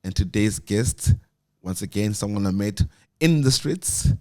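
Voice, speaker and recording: A man hosting a podcast, chatty and excitable, often tripping over his words. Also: on the low side, unhurried. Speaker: podcast host. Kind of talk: casual chat.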